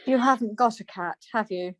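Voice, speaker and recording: A woman playing a brisk, plain-spoken, chatty wife in a radio play, chiding and questioning. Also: a little high, slight background noise, close to an everyday voice. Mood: incredulous.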